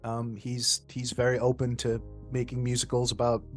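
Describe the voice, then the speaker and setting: A woman's voice: extremely low pitch, monotone, normal speed, soft background music. A woman hosting a podcast, commenting casually and informally, often breaking off and restarting her thoughts. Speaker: podcast host.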